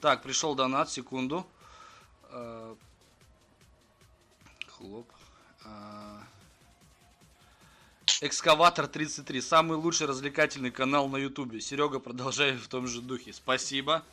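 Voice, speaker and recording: A man hosting a live stream, talking briskly and addressing his viewers directly as he urges them to sponsor the channel. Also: medium pitch, fairly even intonation, bright, forceful tone, faint music behind. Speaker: streamer and host. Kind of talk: casual live-stream chat.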